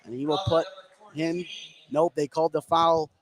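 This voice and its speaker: High-pitched man calling basketball live. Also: moderate pace, rough recording. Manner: excitable, rising on big plays. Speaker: play-by-play sports commentator. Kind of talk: live basketball radio commentary. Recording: clear background noise, gym ambience.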